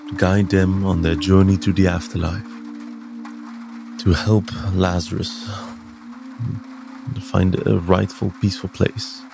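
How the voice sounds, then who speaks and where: A man voicing a solemn, weary priest in a tabletop game, speaking gravely and with ceremonial care. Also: deep voice. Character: solemn, weary male priest of ancient spirits.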